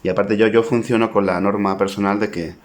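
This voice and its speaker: Very deep-voiced Spanish man, reflective. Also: steady tone, fairly quick. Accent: Spanish (Spain). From Spain (Zaragoza). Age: 40s. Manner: reflective, modest, thinking aloud. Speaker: poet and author. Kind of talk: informal talk to camera about his book.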